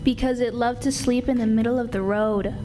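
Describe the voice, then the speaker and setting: A woman's voice: a little high, fairly even intonation, a little fast, warm tone, soft background music, close to an everyday voice. A woman playing a cheeky, stubborn, teasing little girl with insistent delivery in an old-time radio comedy. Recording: noisy live stage recording.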